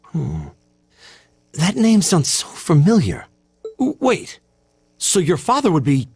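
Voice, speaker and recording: Proud nerdy teen cadet for a game, american, formal n awkward, slightly raspy, swingy pitch. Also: male voice, wildly swooping pitch, takes it slowly, a little mellow, faint music behind, exaggerated voice. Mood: flustered. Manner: formal, hesitant, self-conscious. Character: stiff, proud, bookish teenage male cadet. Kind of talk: video game voice acting.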